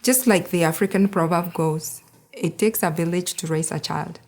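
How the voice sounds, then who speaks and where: A woman's voice: lowish voice, steady tone, moderate pace, a little mellow. Earnest woman giving a speech. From Kenya. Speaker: board member giving a speech. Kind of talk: prepared gala speech.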